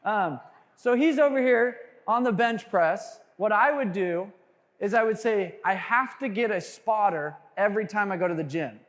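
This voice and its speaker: High-voiced man coaching a crowd in a hall. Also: moderate pace. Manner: animated, jokey, quizzes the crowd. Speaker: sales trainer speaking to a room. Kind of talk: energetic seminar talk to a live audience. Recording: long hall reverb.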